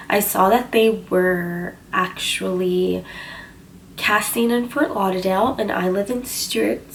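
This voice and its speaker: A young woman with a cold, sounding stuffy and slightly husky, chatting casually on a vlog with lots of fillers. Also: medium pitch. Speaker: vlogger. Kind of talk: casual storytelling chat. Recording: voice a bit far from the mic, faint background hiss.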